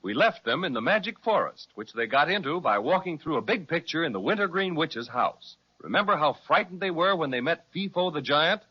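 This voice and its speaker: A man's voice: fairly high pitch, lively intonation, brisk, hardly any pauses, husky, dull audio. A man narrating a scripted children's story recap, addressing young listeners as a storyteller.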